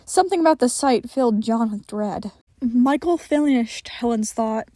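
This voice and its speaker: Woman, story narrator, dark soft tone, slightly high pitch, scripted fiction reading, very dry close sound. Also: expressive, normal speed, no room sound. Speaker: podfic narrator.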